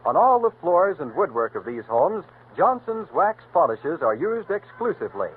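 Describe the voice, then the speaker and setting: A man reading a formal radio announcement in a smooth, measured way. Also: fairly high pitch, sing-song. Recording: tinny 1930s radio broadcast, faint hiss.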